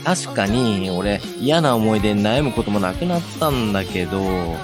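Man acting a hapless young guy, swinging pitch. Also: expressive, moderate pace, lightly acted. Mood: casual, musing. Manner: flustered, casual. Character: hapless, somewhat pathetic young man, a thief. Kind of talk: audio drama acting. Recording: music behind the voice.

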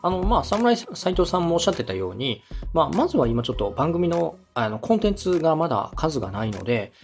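A man's voice: a little high, sing-song, a little fast, faint music behind. A man in his thirties from Osaka, hosting a podcast and giving polite, encouraging advice in a conversational style. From Osaka, Japan. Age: 30s. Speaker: podcast host and radio director.

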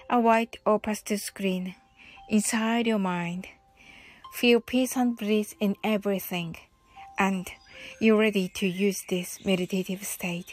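Casting: female meditation guide, calm and deliberate, very unhurried pace, mid-range pitch, soft music underneath. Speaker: host of a mindfulness and English audio show. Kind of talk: guided meditation in two languages, slow and measured. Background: background music.

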